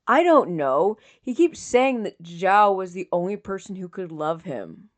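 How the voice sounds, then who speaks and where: A woman voicing a troubled, lovesick young man in a subdued, halting and defensive way for an audiobook. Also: medium pitch, big pitch swings, dry recording. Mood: frustrated.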